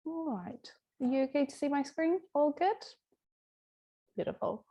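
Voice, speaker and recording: A woman's voice: sits high, animated, measured pace, dark tone. A woman giving a friendly, upbeat webinar presentation as guest speaker over a video call. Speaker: guest speaker, client director. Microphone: webcam video call.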